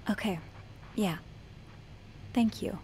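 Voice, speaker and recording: A woman's voice: mid-range voice, takes it slowly, mellow, close to an everyday voice. Young female hotel maid, acted by a woman, curious and anxious, natural mystery-game dialogue, hesitant delivery, faint noise behind.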